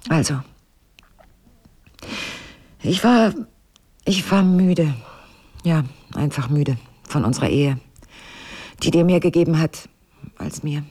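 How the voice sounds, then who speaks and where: An actress in a naturalistic radio drama playing a guarded, weary wife who speaks in a measured, evasive, dryly sardonic way. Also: female voice, deep voice, animated, warm tone, full character voice. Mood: weary, bitter. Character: guarded, weary wife burdened by a painful secret.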